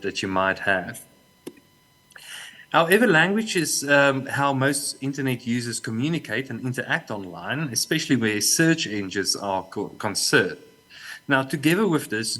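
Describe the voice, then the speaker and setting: A man's voice: mid-range voice, fairly bright tone. South African man, Afrikaans first language, conference presenter, stop-start delivery, wry jokes. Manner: halting, repeats words, dry humor. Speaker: conference speaker. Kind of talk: online conference talk. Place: remote talk, not in the hall.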